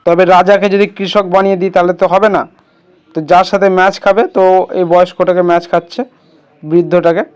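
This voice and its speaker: Male tutorial host: high-pitched, smooth clear voice, casual and instructional, step by step. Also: level tone, quick pace. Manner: instructional, addresses viewers as friends.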